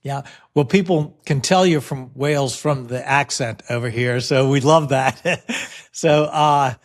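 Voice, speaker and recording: A man hosting a podcast interview, introducing his guest in a friendly, unhurried way with lots of "uh" fillers. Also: mid-range voice. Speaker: podcast host.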